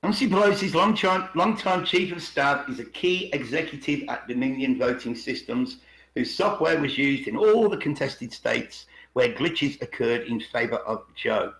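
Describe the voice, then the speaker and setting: A man's voice: medium pitch, expressive, normal speed, vocal fry, fairly bright tone. A man hosting a rambling conspiracy talk, reading bits aloud and repeating words as he halts and checks for agreement. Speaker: podcast host. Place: online video call. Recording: roomy, echoey video call.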